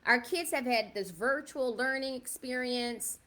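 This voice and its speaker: A woman's voice: high voice, unhurried, a bit bright. A woman in her fifties, raised in the South Bronx, hosting a casual livestream and talking straight to her viewers, often checking in with a "right?". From South Bronx, New York. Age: 50s. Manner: chatty, keeps asking viewers "right?". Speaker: livestream host.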